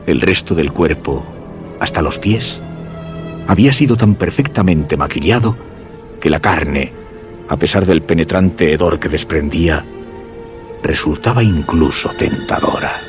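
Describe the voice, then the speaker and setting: An actor playing a weakened, dread-stricken husband narrating a macabre tale in grave, measured Castilian Spanish for a radio horror drama. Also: male voice, sits low. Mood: morbid fascination. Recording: dull radio sound with music underneath.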